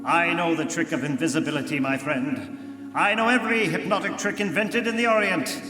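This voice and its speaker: A man acting a commanding, all-knowing invisible crime-fighter in old-time radio drama style, declaiming slowly and grandly with menace. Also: fairly high pitch, natural voice. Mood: menacing, commanding. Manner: grandiose, slow, taunting declamation. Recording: live in reverberant church, music behind.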